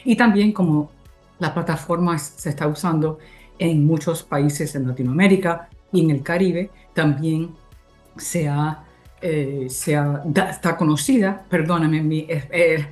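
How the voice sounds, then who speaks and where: A low-voiced woman from Cuba hosts a webinar over faint music. Spanish is not her first language, so she searches for words as she gives semi-formal opening remarks. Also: measured pace, darkish tone. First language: not Spanish (Spanish is a second language). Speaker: host introducing a webinar.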